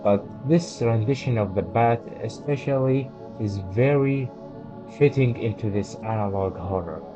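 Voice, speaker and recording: Slow, halting man over music, dull recording. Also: medium pitch. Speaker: host of a horror video commentary channel. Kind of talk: scripted narration with commentary, reading out quotes. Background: background music.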